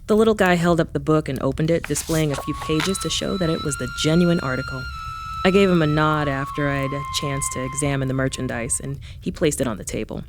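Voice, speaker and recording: Woman playing low-pitched, wry trickster adventurer. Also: a little fast, noisy background. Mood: wry, narrating. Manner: flippant, wry, casual. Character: irreverent, cocky female trickster adventurer, wry narrator. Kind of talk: first-person audio drama narration and dialogue.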